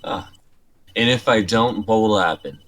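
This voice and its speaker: Man voicing a condemned prisoner, very deep voice, bitter, cursing. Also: unhurried, fairly bright tone. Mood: taunting. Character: bitter condemned male prisoner, young adult, furious. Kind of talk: live dramatic reading.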